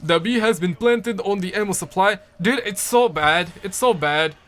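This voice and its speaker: A man's voice: high voice, moderate pace, a bit bright. A young man from Pakistan, chatting casually on a livestream and answering viewer comments in a rambling, playful way that repeats words. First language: Urdu.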